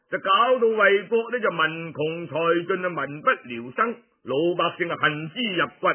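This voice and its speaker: A male storyteller with a Guangdong Cantonese accent and a high-pitched voice. He takes his time, stretching phrases for emphasis in a theatrical, colloquial way, in the style of traditional radio tale-telling. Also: phone-quality sound.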